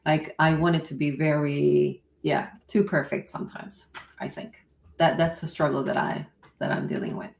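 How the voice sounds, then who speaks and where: A woman's voice: deep voice, fairly even intonation, moderate pace, vocal fry, echoey room, far from the mic. A woman with a Swedish accent, English not her first language, talking hesitantly in a casual online roundtable. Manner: hesitant, searching for words. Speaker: small creator on a panel.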